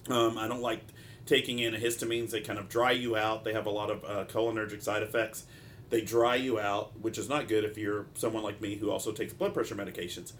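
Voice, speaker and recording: A man with a stopped-up nose hosting a solo podcast in an informal, halting and discursive way, often drifting into asides. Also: fairly low pitch, steady tone, a bit bright, slight background noise. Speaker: podcast host, mental health practitioner.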